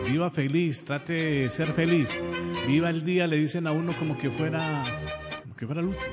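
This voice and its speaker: A man from Pereira, Colombia, with a Colombian accent, closing his radio show with heartfelt thanks and blessings. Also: on the high side. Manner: earnest, heartfelt, religious blessings. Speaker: radio host. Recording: muffled radio audio with long reverb and music bed.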